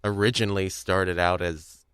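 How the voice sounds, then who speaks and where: Guy hosting a podcast, lowish voice, kinda rambly and stop-start, close dry sound. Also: steady tone, moderate pace, fairly bright tone, smooth, no echo. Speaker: podcast host. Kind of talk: casual discussion of audio drama.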